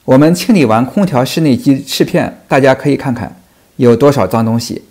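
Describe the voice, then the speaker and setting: A man's voice: mid-range voice, fairly quick, a little mellow. A man narrating a technical lesson on furnace repair in a plain, explanatory way. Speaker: HVAC technician. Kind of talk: instructional voice-over narration.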